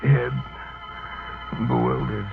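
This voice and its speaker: An actor playing a despairing, fearful criminal on the run in halting, dramatic 1940s radio mystery style. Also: male voice, medium pitch, steady tone, creaky. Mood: bleak, fading. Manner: halting, dramatic first-person delivery. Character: Jean LeBlanc, despairing fearful criminal on the run, narrating his own end. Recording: muffled old 1940s radio recording, organ music.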